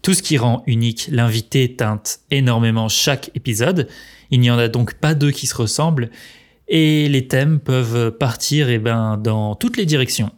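A man's voice: medium pitch, normal speed. A man co-hosting a podcast, reading a prepared script in a conversational tone. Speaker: podcast co-host. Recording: music playing behind the voice. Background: music.

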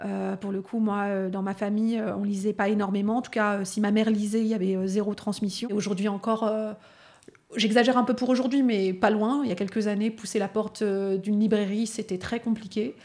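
A woman from the Lyon area of France tells personal stories in a podcast conversation. She hesitates often and fills her pauses as she goes. Her voice sits at an ordinary middle pitch. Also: little pitch movement, a little mellow. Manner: hesitant, frequent fillers, storytelling. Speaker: podcast guest and speaker. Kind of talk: casual reflective conversation.

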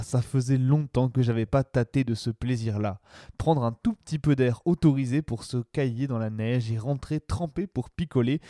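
Sardonic man, mellow and close-miked. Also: medium pitch, dry recording. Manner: sardonic, colloquial. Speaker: radio show presenter. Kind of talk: scripted opinion commentary, informal and slangy.

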